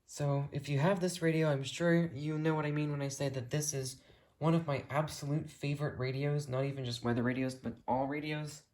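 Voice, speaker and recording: An American hobbyist with a mid-pitched voice, giving a casual, enthusiastic and detailed rambling tour of a collection as the video's host. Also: fairly even intonation, a little fast, darkish tone.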